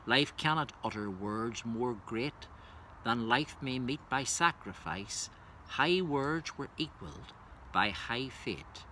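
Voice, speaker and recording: A man with a bright, forceful voice at a middling pitch, presenting poetry in a measured manner. His delivery suits a reader addressing listeners with verse and commentary. A faint background noise runs under the recording. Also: animated. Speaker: reader introducing and reciting a poem. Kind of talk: informal spoken introduction and poetry recitation.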